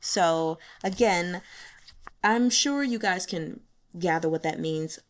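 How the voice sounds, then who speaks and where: A young woman chatting casually about a novel she is reading, animated, laughing often and acting out her own reactions. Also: lowish voice, slow. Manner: carefully spells out a Mandarin phrase syllable by syllable. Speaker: audiobook reader sharing chapter notes. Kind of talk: casual chat.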